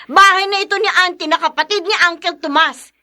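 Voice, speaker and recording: High, bright, feisty wife. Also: female voice, fairly quick, full character voice. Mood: indignant, defiant. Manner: emphatic, expressive. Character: feisty, dutiful breadwinner wife and elder sister. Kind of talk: radio drama acting.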